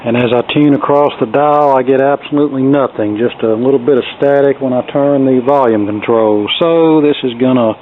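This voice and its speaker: A man's voice: mid-range voice, fairly even intonation, brisk, hardly any pauses. A man giving a casual, unscripted hands-on demonstration as a repair hobbyist, explaining things in a matter-of-fact, rambling way. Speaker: vintage radio repair hobbyist presenting a video. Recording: thin phone-like sound, clearly noisy.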